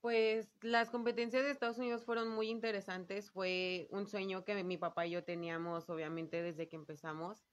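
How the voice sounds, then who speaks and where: A woman's voice: mid-range voice, flat delivery, moderate pace, hardly any pauses. A young adult woman with a Mexican accent, answering casually as an athlete being interviewed, earnest and informal. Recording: slightly dull, lo-fi sound.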